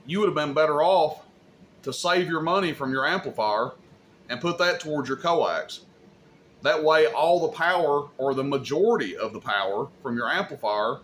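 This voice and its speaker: A man's voice: mid-range voice, fairly bright tone. A man giving an unscripted, folksy explainer as a video host, stressing and repeating his points and checking in with the listener. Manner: plainspoken, folksy wording. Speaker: CB radio shop video host. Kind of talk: unscripted explainer talk to camera.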